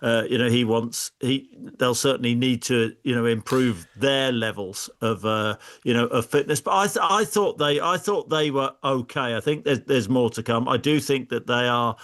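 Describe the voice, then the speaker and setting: Halting middle-aged male football pundit. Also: medium pitch, a bit bright. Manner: halting, repeats words and phrases. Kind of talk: conversational football analysis.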